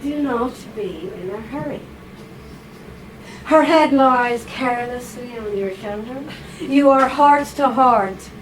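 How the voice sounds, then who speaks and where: A woman with a fairly high voice reads slowly and deliberately at a live event, stopping to coax and tease her volunteers. Her tone is playful and often breaks into laughter. The recording is noisy, with room ambience underneath. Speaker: reader at a writers' salon. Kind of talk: reading aloud and directing volunteers.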